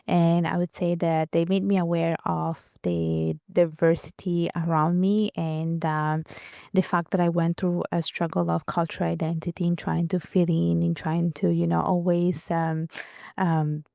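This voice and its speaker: A woman's voice: fairly low pitch, gravelly, warm tone, no echo, clean studio sound. A young adult woman from Italy, a guest author speaking reflectively in an interview, with many fillers and restarts. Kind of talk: conversational interview.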